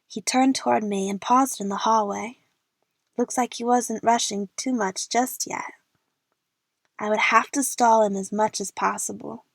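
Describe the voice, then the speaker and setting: A woman's voice: fairly high pitch, steady tone, a little slow, gravelly, no room sound. A young woman playing an eager, chatty movie fan who narrates her adventure in the first person for an audio drama. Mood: wry narration.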